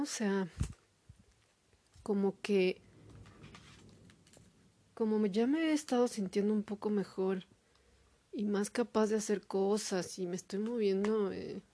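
A woman talking alone in a hesitant, reflective monologue, full of fillers and pauses. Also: mid-range voice, dark tone. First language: Spanish. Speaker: podcaster. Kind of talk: personal monologue.

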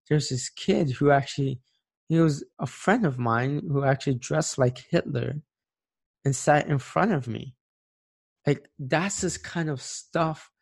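A man's voice: medium pitch, a little mellow. A man in his late twenties speaking earnestly and reflectively in a personal monologue, plain-spoken and sincere. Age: late 20s. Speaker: speaker sharing personal experience.

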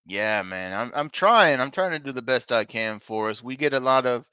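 A man's voice: medium pitch, animated, moderate pace, pushed and bright, clear, resonant tone, no room sound. A man co-hosting a casual live wrestling podcast from a bar, upbeat and plugging the show between topics. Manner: upbeat, plugging social media, teasing. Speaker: podcast co-host.

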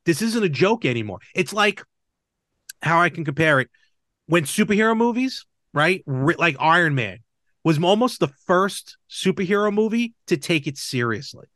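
A man talking casually as a podcast co-host, explaining things in an animated way and checking in with the listener. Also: on the high side, moderate pace, no room sound, studio quality. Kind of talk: casual chat about movies and games.

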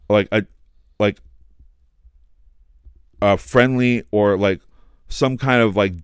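A man hosting a casual podcast chat, talking loudly and passionately, swearing and filling his speech with "like". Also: fairly low pitch, clear, resonant tone, dry recording, clean studio sound. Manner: loud, passionate, swears, heavy use of "like". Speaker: podcast host.

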